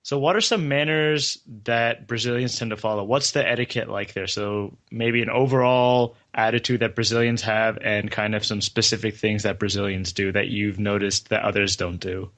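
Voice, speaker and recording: A man's voice: lowish voice, fairly even intonation, normal speed, hardly any pauses, a bit bright. A man hosting a podcast, upbeat and casual, with a slangy greeting and easy questions. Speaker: podcast host.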